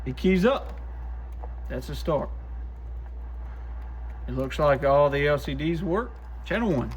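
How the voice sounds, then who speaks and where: A man with a slightly high voice talks casually through testing gear, plainspoken and folksy. He sounds like a shop technician hosting his own video. There is faint noise behind him. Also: expressive, slow pace. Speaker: host, CB radio shop technician testing used radios. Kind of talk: casual hands-on product testing commentary.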